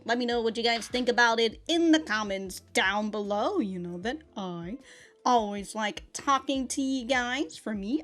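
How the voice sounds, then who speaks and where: A woman giving casual, animated reaction commentary as a video host, chatty and slangy, swearing freely. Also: mid-range voice. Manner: chatty, laughs often while talking.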